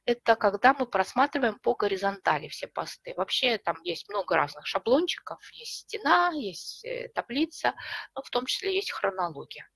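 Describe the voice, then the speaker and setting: Middle-aged female webinar host, gravelly voice, dry webcam sound, patient and explanatory. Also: on the high side, sing-song, normal speed, fairly bright tone, no room sound. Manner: friendly, explanatory, with frequent fillers. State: recovering from a cough. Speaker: webinar presenter, librarian and teacher. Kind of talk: online webinar walkthrough. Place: home room with bookshelves. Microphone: webcam video call.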